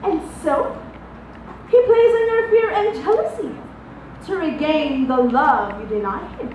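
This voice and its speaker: A woman acting a worldly, self-assured stage actress in a play, speaking grandly and teasingly with dramatic pauses. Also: high-pitched, expressive, highs cut off. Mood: knowing, condescending. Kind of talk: theatrical one-act stage play acting. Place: large auditorium stage, distant microphone. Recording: echoey noisy auditorium from far off.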